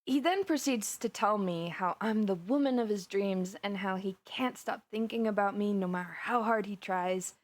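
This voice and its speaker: A woman's voice: medium pitch, normal speed, few pauses, lightly acted. Woman acting a giddy, chatty young villainess. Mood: excited, dramatic. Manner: gossipy, rambling, animated storytelling with giggles. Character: giddy, chatty, flustered young villainess confiding in her best friend. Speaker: voice actor in an audio roleplay. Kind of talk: conversational audio roleplay monologue.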